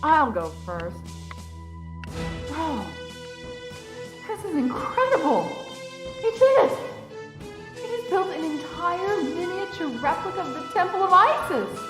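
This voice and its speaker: A woman playing a dignified, grieving middle-aged mother and widow in an audio drama, earnest and quick to reproach. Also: extremely high pitch, sing-song, full character voice, low-quality recording. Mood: awed. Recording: music behind the voice.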